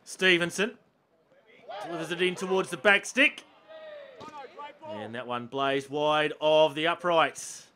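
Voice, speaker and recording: A man with an Australian accent commentating football highlights, with excited bursts at chances and goals. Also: slow, pauses often. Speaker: match commentator.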